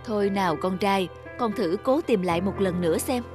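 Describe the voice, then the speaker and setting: A woman voicing a caring, worried mother in an animated story, gentle and concerned in manner. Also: medium pitch, moderate pace, lightly acted. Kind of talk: Vietnamese animated moral story dubbing. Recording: music behind the voice.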